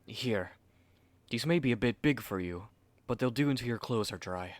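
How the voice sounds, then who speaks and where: A man's voice: medium pitch, moderate pace, no echo, lightly acted. A man playing a haughty, fussy young manga artist in an ASMR roleplay, speaking curtly and articulately to the listener on a close mic.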